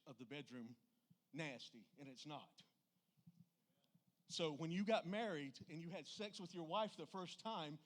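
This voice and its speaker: A man with a Southern US accent preaching a church sermon in a folksy, plainspoken and emphatic way. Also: high voice.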